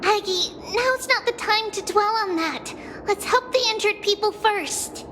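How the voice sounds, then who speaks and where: Casting: small girl companion for a game, very high voice, slow pace, caring and spirited. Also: fairly bright tone, a little hiss or hum. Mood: urgent. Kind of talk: video game voice acting.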